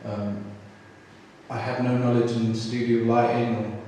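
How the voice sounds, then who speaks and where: A young man from South London reflects on his training in a level, monotone way, full of "um"s. He sits far from the microphone in a big hall. His voice trails off into a long reverb. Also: mid-range voice, a little slow, a little hiss or hum. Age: 20s. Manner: earnest, says "you know" and "um" often. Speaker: photography trainee. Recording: echoey hall, distant mic.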